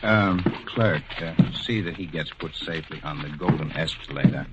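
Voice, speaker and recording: An actor playing a patient, kindly bureaucrat in 1940s radio drama, measured and officious with small hesitations. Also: male voice, a bass voice, very noisy. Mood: patient, official. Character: patient, kindly, bureaucratic male superintendent of the afterlife. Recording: dull, husky old radio recording.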